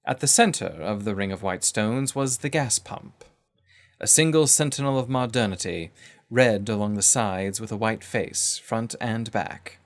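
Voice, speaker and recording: Low-voiced man narrating a story. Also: a little slow. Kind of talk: scripted literary story narration.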